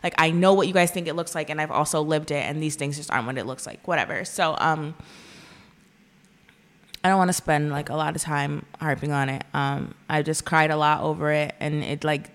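A young woman co-hosting a podcast, speaking earnestly in a halting, casual way with many fillers. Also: low-pitched, fairly even intonation. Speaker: podcast co-host.

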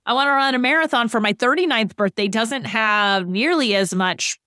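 A woman's voice: a little high, normal speed, pushed and bright, no echo, studio quality. A woman in her late thirties co-hosting a podcast, explaining ideas and giving everyday examples in a casual way. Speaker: podcast co-host.

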